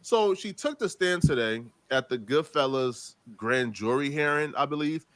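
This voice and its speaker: Man, reaction show host, fairly high voice, casual animated commentary, street slang. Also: moderate pace, dry recording. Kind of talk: casual commentary on court news.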